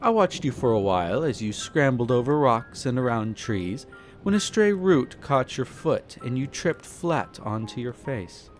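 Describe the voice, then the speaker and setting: A man's voice: medium pitch, sing-song, measured pace, faint music behind. A man playing a suave, flirtatious aristocratic vampire who talks to the listener with amused, haughty condescension in a roleplay audio drama. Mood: amused storytelling. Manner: theatrical, leisurely storytelling with teasing, haughty, formal wording.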